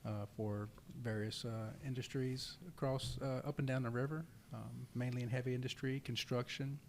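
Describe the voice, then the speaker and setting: A man being interviewed in his office about his safety consulting company, speaking calmly and evenly. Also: medium pitch, a little hiss or hum. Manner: calm, measured. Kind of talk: interview answers.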